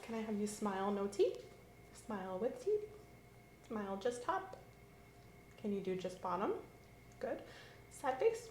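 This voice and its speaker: A woman doing an ASMR medical roleplay as an examining clinician, giving calm, gently coaxing clinical instructions. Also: mid-range voice. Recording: roomy echo, faint background hiss.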